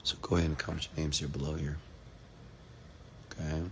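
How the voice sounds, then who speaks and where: A man from Texas, hoarse after a seminar, hosting a late-night livestream, greeting viewers by name and giving motivational talk. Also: rumbling low, flat delivery, fairly quick, slight background noise. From Texas, USA. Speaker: entrepreneur hosting a live stream.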